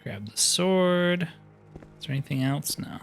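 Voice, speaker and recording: A man's voice: high-pitched, lively intonation, measured pace, fairly bright tone, faint music behind. A man giving casual, mildly exasperated commentary while playing a video game, thinking aloud as he goes. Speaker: gaming video host.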